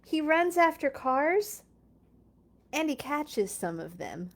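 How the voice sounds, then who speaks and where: A woman reading a children's picture book aloud in an expressive, playful way, with chatty casual asides. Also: sits high, takes it slowly, vocal fry.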